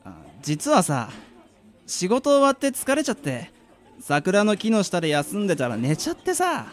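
A man's voice: high-pitched, wildly swooping pitch, moderate pace. A man playing a mild, modest young working man in a radio drama, in a hesitant, polite way. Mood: casual, modest. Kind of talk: amateur radio drama acting and narration.

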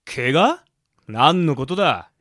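A man playing a gruff, easygoing middle-aged gangster boss with a rough, drawling way of talking in an amateur radio drama. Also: a little high, sing-song, slow, a bit bright, dry recording, exaggerated voice. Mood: relaxed, hearty.

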